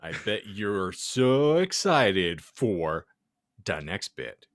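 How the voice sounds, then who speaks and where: A man's voice: fairly low pitch, very animated, drawn-out words, full character voice. A man voicing a bratty, foul-mouthed teenage goth girl in a comedic fan fiction reading, slangy, indignant and melodramatic. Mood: gleeful taunting.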